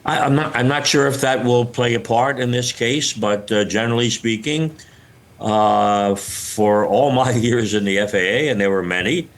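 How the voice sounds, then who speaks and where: An older man being interviewed as an expert, explaining things haltingly as he searches for words. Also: medium pitch. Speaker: retired air traffic controller, interviewed expert. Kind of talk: news interview, unscripted explanation.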